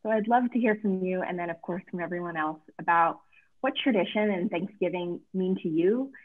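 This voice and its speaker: A woman moderating a virtual author panel, speaking in an effusive, measured way over a video call. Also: lowish voice. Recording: tinny phone-like video call audio.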